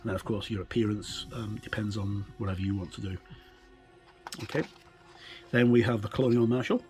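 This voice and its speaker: A middle-aged man giving an unscripted walkthrough commentary as a game reviewer, in a halting, hesitant way with trailing phrases. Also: fairly low pitch, steady tone, darkish tone, soft background music.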